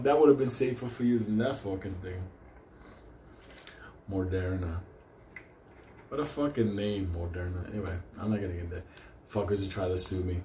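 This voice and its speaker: A man's voice: mid-range voice, mellow, roomy sound, a little hiss or hum. Rowdy, foul-mouthed Puerto Rican man. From Puerto Rico. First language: Spanish. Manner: rowdy, laughs often, swears constantly. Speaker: show host. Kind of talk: casual profane storytelling monologue.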